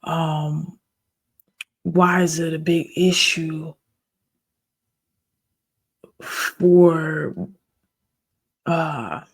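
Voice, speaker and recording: A soft-spoken reaction host who talks slowly and gently, chatting straight to the viewers. The voice is gravelly and a little husky. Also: mid-range voice, fairly even intonation. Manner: soft-spoken, calls it an ASMR voice; chatty, speaks directly to viewers. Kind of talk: casual reaction commentary.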